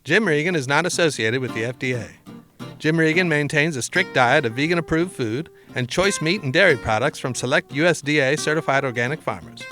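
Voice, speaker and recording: A man's voice: fairly high pitch, a bit bright. A man as announcer reading a mock-serious scripted sign-off.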